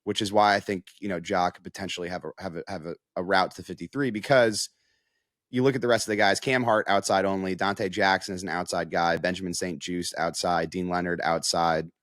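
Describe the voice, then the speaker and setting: A man's voice: sits low, steady tone, a little fast, a bit bright, dry recording, studio quality. A man giving casual sports analysis, explaining his points step by step in a conversational way.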